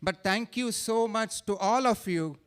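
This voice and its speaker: A man's voice: high voice, measured pace, smooth. A man with an Indian accent doing a self-mocking stand-up set on a theater stage, with playful asides to the crowd. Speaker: stand-up comedian.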